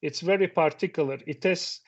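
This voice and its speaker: A man's voice: fairly high pitch, fairly quick. A middle-aged man whose first language is Turkish, giving a reflective conference talk that poses questions to the audience, with occasional restarts. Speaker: conference speaker. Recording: dull lo-fi sound.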